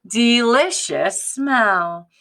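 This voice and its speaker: A friendly storybook policewoman acted out by a woman reading aloud. She sounds appreciative, lingering slowly on words with a very lively rise and fall. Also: on the high side, pitched above the performer's own voice, heavily acted. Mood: tempted. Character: friendly policewoman on duty, tempted by food.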